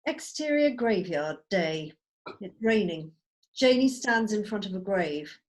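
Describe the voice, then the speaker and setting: Woman narrating in a medium-pitched voice. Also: lively intonation, measured pace. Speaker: narrator reading stage directions. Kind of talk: scripted narration. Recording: video call.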